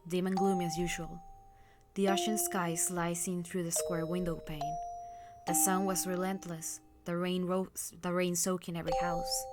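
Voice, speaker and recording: A woman with a fairly low voice narrates a visual novel. Her storytelling is scripted, measured and somber. Loud music plays underneath her voice.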